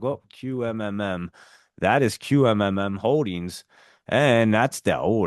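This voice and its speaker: A man's voice: low voice, clear, resonant tone, no echo. Man, heavy New Jersey accent, casual stock talk, dry close sound. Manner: chatty, reads viewer messages and ticker symbols. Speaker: stock trading livestream host. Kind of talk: casual live market chat.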